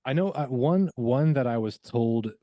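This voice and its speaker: A man who works as a voice actor, talking casually as a podcast guest, reflective and halting, often repeating words as he finds his way. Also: mid-range voice, expressive, no echo, highs cut off.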